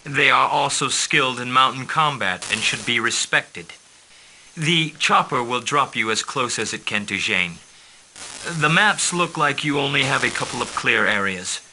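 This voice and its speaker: An actor playing a commanding mission controller who gives clipped, formal orders in a game cutscene. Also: male voice, mid-range voice, lively intonation, unhurried, creaky, pushed and bright, a little hiss or hum, full character voice, low-quality recording.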